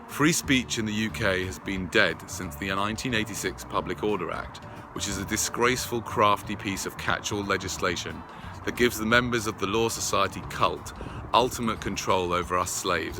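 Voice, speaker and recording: Low-voiced man reading an update. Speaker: video creator addressing followers. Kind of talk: reading a prepared personal update to camera.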